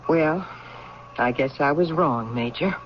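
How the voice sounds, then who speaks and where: A woman playing a calm, firm army nurse with a measured, coaxing manner in old-time radio drama style. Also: deep voice, slight background noise. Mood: reproving. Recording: dull old radio recording.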